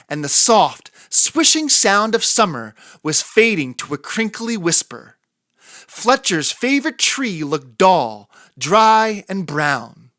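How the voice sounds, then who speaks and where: Expressive, unhurried woman reading a storybook. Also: on the low side, no echo, clean studio sound. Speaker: children's story reader. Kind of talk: expressive storybook read-aloud narration.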